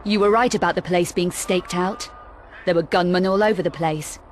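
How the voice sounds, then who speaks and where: An actress playing a tough young woman adventurer in a video game, dry and deadpan with a veiled menace. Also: on the low side, normal speed, a little hiss or hum, close to an everyday voice. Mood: sardonic, menacing. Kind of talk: video game cutscene acting.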